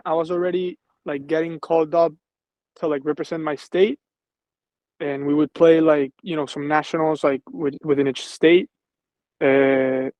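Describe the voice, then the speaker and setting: A man's voice: on the high side, darkish tone, no room sound, rough recording. A man in his twenties from Venezuela, reflecting easily on his football career as an interview guest. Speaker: professional football player, guest. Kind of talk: casual interview.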